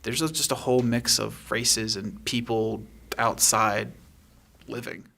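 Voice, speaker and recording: A man improvising narration as game master of a tabletop game, describing things in an informal, halting way. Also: fairly low pitch, fairly even intonation, gravelly, pushed and bright.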